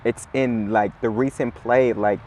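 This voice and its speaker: A man, chatting in a relaxed, rambling way as an interview guest, full of "like" and "you know". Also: mid-range voice, a little slow, a little hiss or hum. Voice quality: raspy. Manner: laid-back, rambling, heavy on "like" and "you know". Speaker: musician guest. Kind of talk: casual interview chat.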